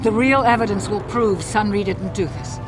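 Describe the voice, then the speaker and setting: A woman's voice: medium pitch, normal speed, close to an everyday voice. A woman playing an earnest, loyal wife in a video game, pleading and insistent. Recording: loud music under the voice.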